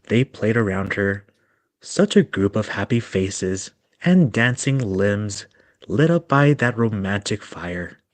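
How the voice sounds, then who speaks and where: A man's voice: fairly low pitch, expressive, slow, no room sound. A man narrating a storybook dramatically as part of a group reading.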